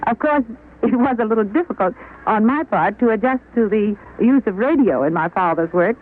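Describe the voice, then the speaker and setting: A woman's voice: fairly high pitch, expressive. A middle-aged woman giving a formal, articulate guest interview on a tinny 1940s radio broadcast. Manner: articulate, formal, measured phrasing. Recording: tinny vintage radio recording.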